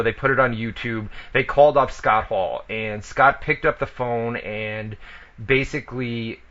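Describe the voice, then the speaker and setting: A man's voice: mid-range voice, bright, forceful tone, muffled recording. A man hosting a casual radio show, talking loosely with profanity and stumbling restarts. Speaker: wrestling radio show host.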